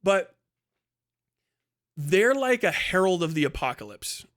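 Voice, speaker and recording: A man's voice: high voice, very animated, unhurried, fairly bright tone. A man hosting a livestream, giving a casual, opinionated rant in a blunt, scornful, profane manner. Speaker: livestream host and commentator.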